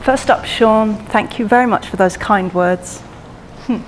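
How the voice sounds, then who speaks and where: A woman with a mixed London, Irish and Australian accent giving a heartfelt eulogy in measured, pausing speech. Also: medium pitch, big-room sound, a little hiss or hum, low-quality recording. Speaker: eulogist, the deceased's daughter. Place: memorial service hall.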